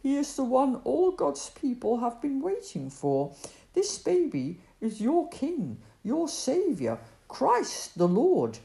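A woman puts on a majestic male angel from a storybook, announcing his news in slow, grand, sweeping tones that rise and fall widely. The voice rings in a roomy, echoey space. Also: high-pitched, wildly swooping pitch, gravelly, dark tone, pitched above the performer's own voice, full character voice. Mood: solemn. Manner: slow, grand proclaiming delivery.

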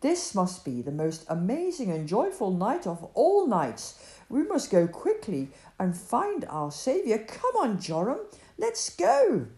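An eager young shepherd lad, as a woman acts him out, his voice jumping high with excitement and tripping over itself when he is nervous. The storybook reading sits in a roomy, echoing space. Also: slow pace, full character voice. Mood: excited.

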